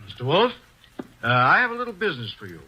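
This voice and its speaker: A nervous, secretive middle-aged bank president in a 1950s radio play. He speaks haltingly, pausing often, in a bright, tense voice. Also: on the high side, sing-song, slight background noise, heavily acted. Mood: hesitant. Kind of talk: 1950s radio drama acting.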